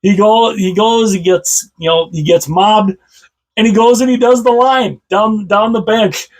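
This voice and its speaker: A man with a slightly hoarse voice, chatting casually about hockey as a channel host, rambling and repeating himself with enthusiasm. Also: sits high, a little slow, poor audio. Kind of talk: casual solo commentary to camera.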